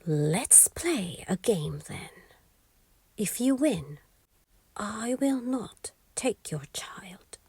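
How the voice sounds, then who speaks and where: A woman voicing a scheming, gleeful little male goblin imp in a storybook, with a sly, sing-song delivery and drawn-out words. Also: medium pitch, expressive, mellow, slightly rough, no echo, full character voice. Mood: taunting.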